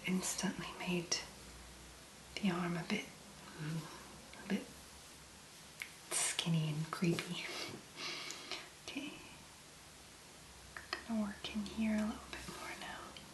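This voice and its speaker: Whispering woman doing soft ASMR. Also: mid-range voice, reverberant, low-quality recording. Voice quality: breathy, whispery. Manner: gentle, unhurried, murmuring step by step. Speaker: ASMR video host. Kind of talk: soft-spoken ASMR make-up tutorial.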